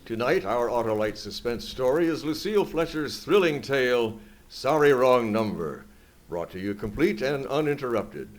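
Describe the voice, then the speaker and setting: A man playing an old-time radio thriller announcer. He is grand, ominous and theatrical, with a widely varied, medium-pitched delivery. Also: lively intonation, moderate pace, close to an everyday voice. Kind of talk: 1940s-style radio announcing.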